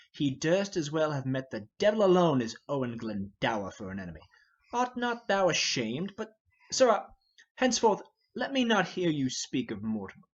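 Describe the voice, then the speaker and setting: A man plays an imperious, suspicious king in a stage history. He commands and accuses in formal verse with a husky, lively-pitched voice. The sound is a little dull. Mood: angry, threatening. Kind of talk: Shakespearean verse drama reading.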